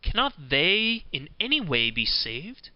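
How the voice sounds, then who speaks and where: A man's voice: a little high, very animated, slow, no room sound. Guy reading a naive nice young lad in a fairy tale, plain talk, slightly muffled. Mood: wondering.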